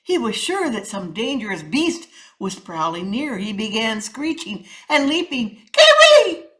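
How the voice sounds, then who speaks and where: A woman's voice: a little high, very animated, a little slow, fairly bright tone. A woman narrating a children's story as a storyteller, with a theatrical, emphatic delivery. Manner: theatrical, emphatic with rhythmic sound words.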